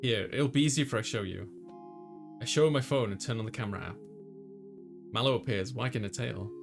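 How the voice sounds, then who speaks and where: A man plays a visual novel and reads it out loud as a story. His voice sits at an ordinary male pitch. He acts out narration and dialogue, with music clearly playing behind him. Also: a little fast. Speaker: let's player voicing a visual novel. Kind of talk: reading game narration and dialogue aloud, dramatized. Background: clear background music.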